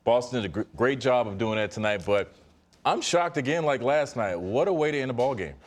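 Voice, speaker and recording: A man's voice: medium pitch, expressive, fairly quick. A man giving conversational baseball analysis as a studio pundit.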